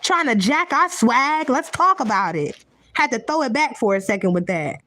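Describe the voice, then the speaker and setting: A woman's voice: a little high, expressive, moderate pace, bright, forceful tone, no echo. A woman giving a heated, profane, unscripted rant to her viewers, blunt and repetitive, often restarting her sentences. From United States. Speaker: vlogger.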